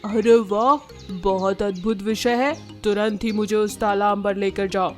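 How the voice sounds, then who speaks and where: A woman's voice: mid-range voice, steady tone, a little slow, faint music behind, close to an everyday voice. A woman voicing a menacing, boastful, greedy male leopard in a children's animal story. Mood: eager.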